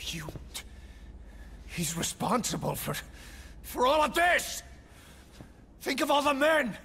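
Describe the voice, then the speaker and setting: A furious, gravelly commander. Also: male voice, very high voice, very animated, slow, long reverb tail, exaggerated voice. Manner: bitter, accusatory, shouting. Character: furious, vengeful male military commander. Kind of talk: video game cutscene acting.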